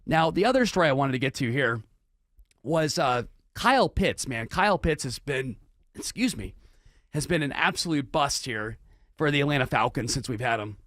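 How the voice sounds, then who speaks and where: Man, hoarse voice, live radio host, mid-range pitch, dry studio sound, chatty with restarts. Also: expressive, normal speed, a bit bright, no room sound. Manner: chatty, frequent restarts and throat clearing. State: losing his voice, hoarse. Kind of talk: live talk radio monologue. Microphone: studio microphone.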